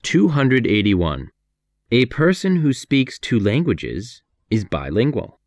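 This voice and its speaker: A man with an American accent reading numbered practice sentences for an English lesson, clear and measured. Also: medium pitch, sing-song, no room sound.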